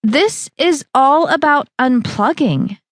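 A woman voicing a pushy, upbeat young female fitness trainer who coaxes loudly. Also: high-pitched, expressive, takes it slowly, no echo, clean studio sound, pitched above the performer's own voice. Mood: bossy. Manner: pushy, cheerful, loud coaxing.

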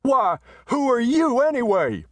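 A man voicing a big, red-faced male messenger who shouts belligerently in a western story. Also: high voice, lively intonation, measured pace, dry recording, pitched above the performer's own voice. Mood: shouting.

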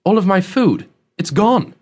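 A man's voice: sits high, animated, unhurried, darkish tone, breathy edge, pitched above the performer's own voice, full character voice. A man narrating an audiobook, voicing a polite, earnest young adventurer with an anxious, urgent manner. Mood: polite, dismayed.

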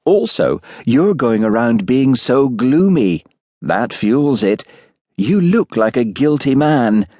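A man's voice: mid-range voice, sing-song, slow, dark tone, no echo, voice pushed up. A man voicing a friendly, frank, slightly vague doctor's wife in an audiobook. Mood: comfortable, frank. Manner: vague, cheerful, chatty. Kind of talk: audiobook character voice.

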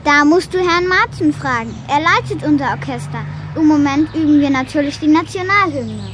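A woman's voice: high voice, animated, moderate pace, background noise. An actress playing a well-behaved, curious young schoolgirl in a children's radio play, speaking in an earnest, friendly way.

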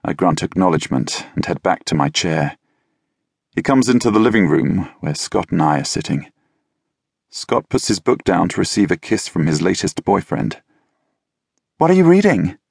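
A man narrating a novel in the first person, with a wry, measured, deadpan delivery. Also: low-pitched, creaky, husky, no echo, studio quality. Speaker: audiobook narrator. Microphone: close studio mic.

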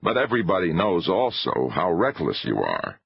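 A man acts out a wry Broadway agent in an audiobook adventure. He argues cautiously and reluctantly in a husky voice with plenty of rise and fall. The recording is a little dull. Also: sits low, wildly swooping pitch, moderate pace, dry recording, heavily acted. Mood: reluctant, wary. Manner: grunting, reluctant, reasoning. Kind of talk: dramatised audiobook dialogue.

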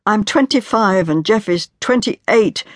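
A woman's voice: expressive, a little slow, no room sound. Woman reading as a desperate young wife in her twenties, tormented and pleading, dry close sound. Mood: anguished. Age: 20s. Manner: pleading, tormented, rising to a high strained pitch. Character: anguished young woman, desperate, grief-stricken. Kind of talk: audiobook character voice.

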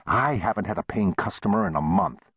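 A man's voice: animated, brisk, a bit bright, slightly rough, no room sound, studio quality, exaggerated voice. Man playing a touchy, indignant small-town storekeeper. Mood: gloomy. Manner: heated, complaining, raised pitch.

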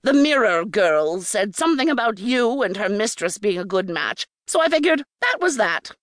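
A woman's voice: medium pitch, very animated, normal speed, no room sound, clean studio sound, lightly acted. A woman voicing a wily, coarse, mean-tempered old hag in a storybook, brash and scornful. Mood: irritated. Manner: brash, scornful, talks with mouth full.

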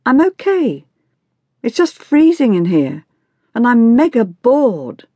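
A woman's voice: on the high side, big pitch swings, slow pace, mellow, breathy edge, dry recording, voice pushed up, exaggerated voice. A woman voicing a sulky, mocking fourteen-year-old girl in audiobook dialogue, with a whiny, sarcastic manner. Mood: sulky groaning. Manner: whiny, sarcastic teenage complaining.

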